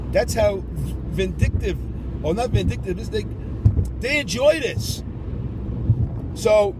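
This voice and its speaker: A man's voice: high-pitched, background noise. A man giving child support advice in a casual, rambling monologue, combative in tone and full of "you know". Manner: combative, repeats himself, heavy with "you know".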